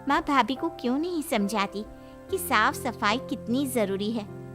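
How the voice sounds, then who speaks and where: A woman's voice: fairly high pitch, expressive, measured pace, music in the background. A woman playing a cheeky, persuasive young sister-in-law and aunt in a comic family story. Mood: concerned. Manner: teasing, coaxing.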